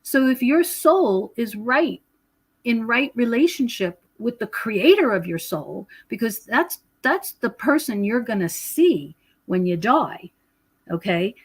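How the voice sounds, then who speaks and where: A woman's voice: a little high, animated, takes it slowly, breathy edge. Woman explaining spiritual ideas emphatically. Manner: emphatic, preachy, repeats words and checks in with "okay" and "right". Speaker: podcast guest, author and researcher of prophecy. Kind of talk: conversational interview, spiritual explanation.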